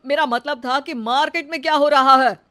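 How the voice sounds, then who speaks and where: A woman's voice: sits high, normal speed, a bit bright, voice pushed up. A woman voicing an exasperated but polite male office boss in a comic cartoon story, instructing the people around him.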